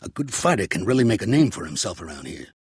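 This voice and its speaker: An actor playing a gruff, streetwise older mobster in a video game, with an American accent, a raspy voice and a blunt, slangy, commanding way of talking. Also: male voice, on the low side, sing-song, quick pace, dry recording, studio quality, heavily acted. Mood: fatherly, steady. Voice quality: raspy, gravelly. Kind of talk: video game character acting.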